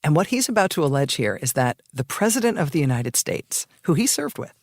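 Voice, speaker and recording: Animated, creaky man with higher voice. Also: brisk, no room sound, clean studio sound. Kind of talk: dramatic explanatory narration.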